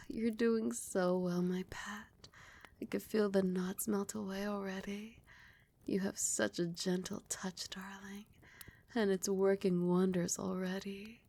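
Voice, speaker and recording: Woman as a creaky, breathy doting vampire queen. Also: mid-range voice, flat delivery, dark tone. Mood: pleased, tender. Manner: slow, formal, coaxing pet names. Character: doting, commanding vampire queen, regal and indulgent mistress. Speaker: ASMR roleplay voice actor. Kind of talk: ASMR roleplay, intimate scripted acting.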